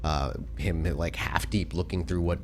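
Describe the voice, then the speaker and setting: A man's voice: deep voice, steady tone, unhurried, noisy background. A man running a tabletop roleplaying game, narrating scenes descriptively and often rephrasing as he goes.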